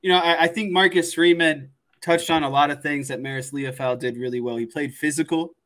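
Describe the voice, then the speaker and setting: Higher-voiced man talking football analysis. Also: steady tone, a little fast, a bit bright. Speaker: sports podcast analyst, former linebacker. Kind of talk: casual sports analysis.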